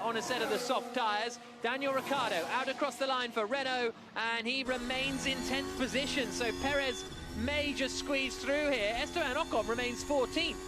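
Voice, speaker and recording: A male commentator calls live motor racing in a high-pitched voice. Engine noise and strong background sound run behind him as he describes the action.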